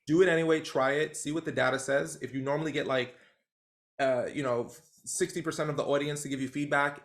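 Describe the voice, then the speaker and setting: Male coach, loose and animated delivery, fry in the voice, video-call sound. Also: a little high. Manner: animated, rambling, with many fillers. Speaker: trainer leading a group call.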